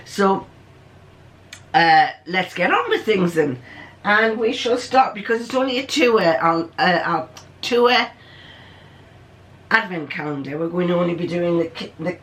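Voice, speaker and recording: An older person hosts in a deep voice. The talk is casual and rambling, drifting off into asides and coming back in a plain, matter-of-fact way. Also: fairly bright tone. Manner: chatty, digressive, matter-of-fact. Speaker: video host.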